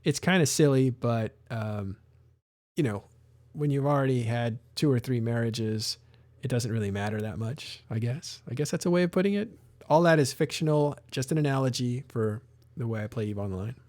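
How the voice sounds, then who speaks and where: A man's voice: mid-range voice, expressive, moderate pace, darkish tone, dry recording. A man hosting a casual live stream, talking in a rambling way with many restarts and fillers. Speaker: live show host.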